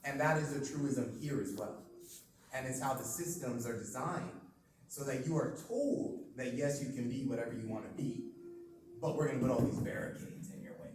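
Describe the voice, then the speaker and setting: A man from Canada speaks at a steady, deliberate pace, sometimes restarting his sentences as he lays out his point. He sounds distant from the mic in a very echoey room, with clear background noise. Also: medium pitch. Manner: measured, deliberate, with halting restarts. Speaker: panel participant. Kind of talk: discussion panel.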